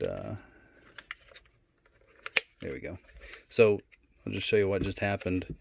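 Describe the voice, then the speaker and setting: A man giving a casual, unscripted product demonstration in a low-key, halting way. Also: vocal fry, darkish tone. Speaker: product reviewer.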